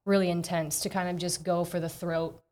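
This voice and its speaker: A young woman co-hosting a podcast, speaking casually and thoughtfully, often pausing with fillers. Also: fairly low pitch, flat delivery, a little mellow. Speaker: podcast co-host. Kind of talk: casual chat.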